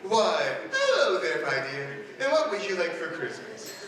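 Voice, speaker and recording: A man's voice: on the high side, wildly swooping pitch, measured pace, crowd noise. An actor playing a jolly department-store Santa Claus in a 1940s-style radio drama, with hearty, chuckling salesman patter. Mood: jovial. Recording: echoey stage from far off with music.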